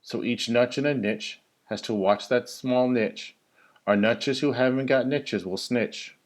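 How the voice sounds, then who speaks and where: A man who works as a teacher reads a children's story in rhyming verse, with an expressive, sing-song delivery that stresses key words. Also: mid-range voice, measured pace. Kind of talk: animated storybook read-aloud of rhyming verse.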